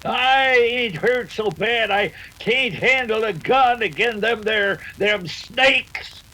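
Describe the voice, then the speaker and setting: A feisty old-timer rancher in a vintage radio western. The voice is very high, piercing and slow, with a stammering rustic drawl. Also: male voice, sharp tone, full character voice, rough recording. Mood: feisty, defiant. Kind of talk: 1930s western radio acting.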